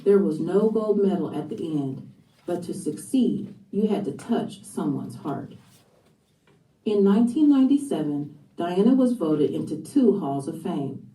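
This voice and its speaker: A woman narrates a children's biography from a script. Her voice is very mellow and dark, on the low side. It sounds distant and echoey. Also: lively intonation, measured pace. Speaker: book narrator.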